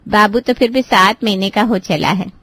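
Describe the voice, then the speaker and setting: A woman's voice: mid-range voice, steady tone, normal speed, fairly bright tone, no room sound, close to an everyday voice. A woman voicing a helpful middle-aged aunt-in-law in a family moral-story cartoon. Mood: agreeable.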